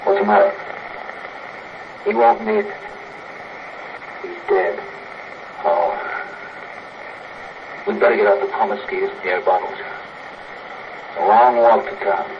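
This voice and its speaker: A man's voice: fairly low pitch, big pitch swings, slow, creaky, long pauses, exaggerated voice. An actor playing a practical, protective spaceship crewman, terse and commanding, in vintage radio drama style. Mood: urgent, sober. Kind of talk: 1950s radio drama acting. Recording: muffled old radio recording, loud noise.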